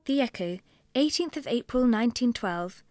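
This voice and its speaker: An actress playing a composed newspaper reporter reading out a 1912 report in a radio drama. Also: female voice, a little high, lively intonation, normal speed, darkish tone, no room sound, close to an everyday voice.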